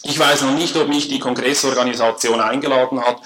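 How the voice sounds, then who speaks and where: A man with a Swiss German accent giving a formal lecture at a conference, measured and dryly humorous. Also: mid-range voice, fairly even intonation, fairly bright tone, slight background noise, rough recording. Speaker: conference speaker. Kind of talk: academic lecture. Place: lecture hall.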